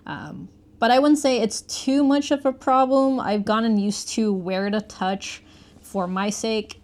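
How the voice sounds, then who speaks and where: A woman's voice: fairly high pitch, a little slow. A woman giving a casual, conversational product review, explaining things as she goes.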